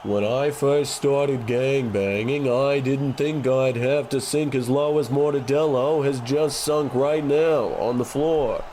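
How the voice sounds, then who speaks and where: A man's voice: mid-range voice, fairly even intonation, takes it slowly, few pauses, warm tone, slight background noise, close to an everyday voice. A man playing an earnest, conscience-stricken gangster who speaks like an orator, in an old-time radio comedy. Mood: earnest, oratorical. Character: earnest, conscience-stricken male gangster making a grand speech.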